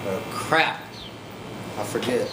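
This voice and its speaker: A man's voice: lowish voice, animated, slow pace. Man muttering in a very noisy room. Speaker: video game player. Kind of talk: casual gameplay commentary to himself. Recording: roomy, very noisy, game sounds behind.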